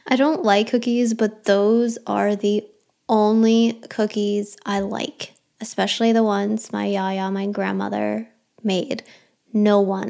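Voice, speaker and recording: A woman's voice: mid-range voice, creaky, darkish tone. A young adult woman hosting her own podcast, chatting casually and rambling through listener questions with a self-deprecating touch. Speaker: podcast host.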